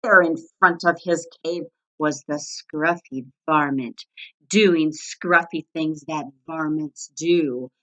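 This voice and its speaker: A woman narrating a children's story aloud in an expressive read-aloud style, on a slightly muffled recording. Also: sits low, slow pace. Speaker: storybook reader.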